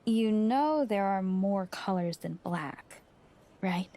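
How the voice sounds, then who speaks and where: A woman's voice: mid-range voice, expressive, slow pace, warm tone. A woman playing a sarcastic, candid friend of a wrestler in a video game cutscene.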